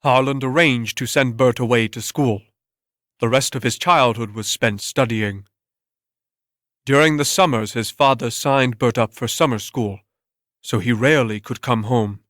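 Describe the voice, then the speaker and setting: A man's voice: medium pitch, a little slow, a bit bright, no room sound. Male narrator for a dramatic story, mid-range voice, scripted storytelling read, dry acoustic with no room sound.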